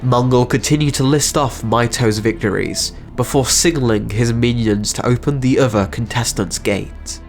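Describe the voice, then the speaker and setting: A man's voice: mid-range voice, normal speed, few pauses, faint music behind. A man giving scripted narration as the narrator and creator of a story channel.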